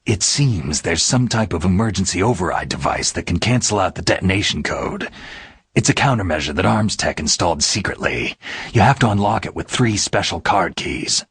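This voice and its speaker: An actor playing a gruff, world-weary special-forces soldier in a video game, his voice raspy and gravelly. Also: low-pitched, lively intonation, normal speed, a bit bright, no echo, studio quality, heavily acted. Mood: grim, matter-of-fact. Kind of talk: dramatic video game voice acting.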